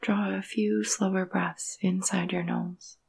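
A woman leading a guided meditation in a soft, breathy, husky voice, gentle and soothing, with long pauses between phrases. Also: medium pitch, level tone. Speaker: yoga nidra meditation guide.

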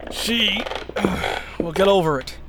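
An actor playing a wounded rebel agent, dry and blunt with a gravelly voice, in a sci-fi audio drama. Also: mid-range voice, a little slow, noisy background, lightly acted. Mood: dry, weary.

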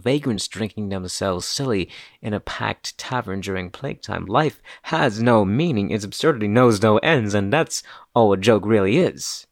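An actor playing an infamous jester in an audio drama, brooding and mocking, with a merry facade over bitter, nihilistic darkness. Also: male voice, gravelly, no echo, clean studio sound. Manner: brooding, mocking, with swings in loudness and pitch. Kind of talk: theatrical dramatic monologue.

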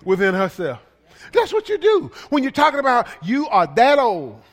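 A man's voice: extremely high pitch, wildly swooping pitch, normal speed, in a large hall. A male pastor preaching a sermon in a playful way, with lots of repetition and call-and-response to the congregation.

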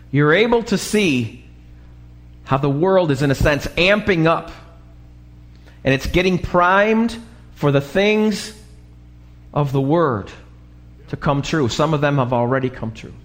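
An American man preaches a sermon live in a church with long reverb. His slightly raspy voice sits a little higher than average, and he takes his time. He often punctuates his points with short questions to the congregation. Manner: asks the congregation frequent short checking questions. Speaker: pastor.

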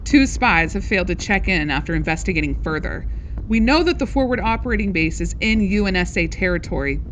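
A woman's voice: on the low side, lively intonation, a little hiss or hum, natural voice, low-quality recording. Woman playing a serious, formal spy agent. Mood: grave. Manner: measured, formal briefing. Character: serious, businesslike female spy agent briefing a crew. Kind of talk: science-fiction audio drama acting.

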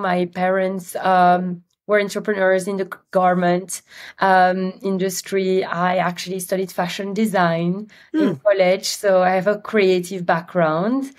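A woman with a Belgian accent, a guest in an interview, talking in a friendly storytelling way with frequent pauses. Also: medium pitch. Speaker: guest, company CEO. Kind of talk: interview conversation.

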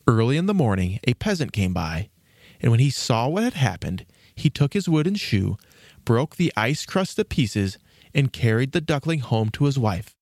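A man with a mid-pitched voice reads a scripted fairy tale. He tells it expressively, in an old-fashioned storyteller's way. The recording is very dry. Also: very animated, moderate pace, darkish tone, no room sound. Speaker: narrator.

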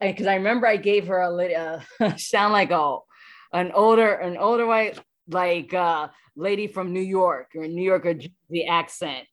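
A woman, a voice actor being interviewed, talking casually and haltingly as she thinks aloud. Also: fairly low pitch.

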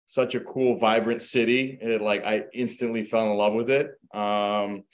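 A man's voice: on the low side, moderate pace. A middle-aged man raised in the United States, a real estate agent guest telling his background casually with many fillers over a video call. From Croatia, raised in the United States. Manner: relaxed storytelling, many fillers like um and you know. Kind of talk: casual interview.

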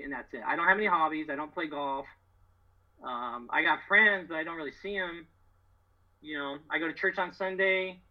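A middle-aged American man with a husky voice, telling stories as a podcast guest in casual, slangy, emphatic, boastful talk. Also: a little high, dull audio. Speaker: podcast guest, door-to-door solar salesman. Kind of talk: casual, animated storytelling.

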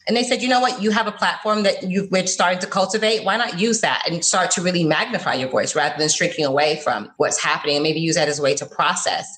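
A woman in her forties from South Florida, a college professor being interviewed on a podcast, who talks in a lively, earnest way and laughs easily. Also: on the low side, quick pace, few pauses, rough recording. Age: 40s.

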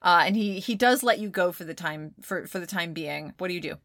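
A woman's voice: on the low side, a little fast, a bit bright, no room sound, studio quality. A woman narrating as game master of a tabletop game, laughing often and calling for dice rolls.

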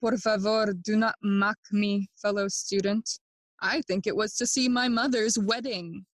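A woman's voice: a little high, moderate pace, fairly bright tone, dry recording. A woman playing a grieving, bitter young prince in a bilingual Shakespearean audio play, theatrical and impassioned, moving between English and Spanish. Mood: bitter, mournful.

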